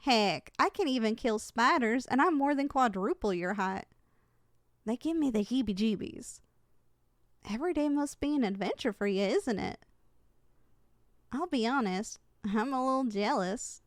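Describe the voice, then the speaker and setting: A young woman playing a kind, caring giantess in an ASMR roleplay, speaking in a gentle, affectionate, conversational way. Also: on the high side, animated, normal speed, no echo, clean studio sound, natural voice. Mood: curious, friendly, then candid, wistful. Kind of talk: ASMR roleplay, intimate character acting.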